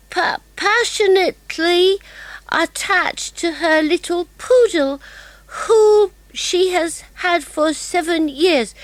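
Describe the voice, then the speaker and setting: Very high, slow-spoken little girl. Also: fairly bright tone, dry recording, full character voice. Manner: earnest, wide-eyed, exclaiming. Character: little girl, earnest, curious and excitable. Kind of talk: dramatised children's audiobook acting.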